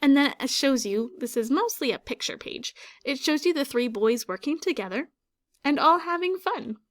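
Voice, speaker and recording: A woman teacher reading a children's picture book aloud, pausing to ask her listeners questions about the story. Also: high voice, lively intonation.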